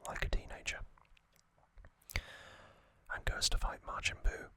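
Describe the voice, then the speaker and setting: Slow whispering male ASMR host. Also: no echo. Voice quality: breathy unvoiced whisper. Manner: slow, gentle, pausing delivery.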